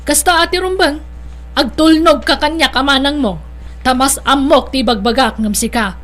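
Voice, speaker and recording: A woman's voice: high-pitched, moderate pace, fairly bright tone. A woman playing a bossy, meddling older sister in an Ilocano audio drama, insistent and probing in her manner. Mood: persuasive.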